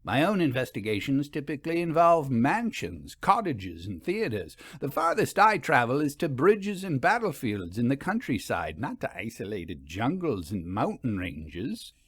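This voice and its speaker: A blunt woman who investigates ghosts, as a male narrator puts her on. She is skeptical and matter-of-fact, with lively intonation. It is a storybook reading in a dry space. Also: mid-range voice, moderate pace, few pauses, husky, dry recording.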